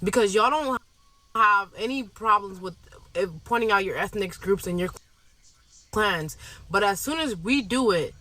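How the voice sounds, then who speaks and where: A woman's voice: medium pitch, expressive, a little slow, sharp tone, low-quality recording. A woman from Somalia giving an impassioned monologue, emphatic and insistent, hammering her points home by repeating them. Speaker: video commentator.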